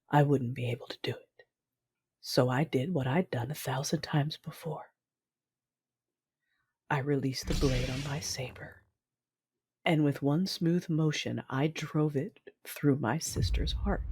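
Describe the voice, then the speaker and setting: A woman's voice: very deep voice, husky, no room sound, heavily acted. An actress playing a hardened, fiercely loving warrior woman who narrates an audio drama in the first person with solemn intensity.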